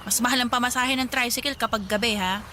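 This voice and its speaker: Woman acting an excitable, high-pitched Filipina friend. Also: quick pace, very noisy, close to an everyday voice. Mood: impatient. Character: impatient, eager young female friend. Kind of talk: Tagalog radio drama acting.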